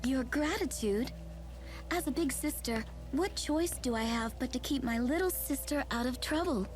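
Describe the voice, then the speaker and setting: A woman's voice: high voice, moderate pace, over music, lightly acted. A woman voicing a haughty, airy female great spirit and protective big sister in a dubbed game cutscene, speaking in a languid, lofty way.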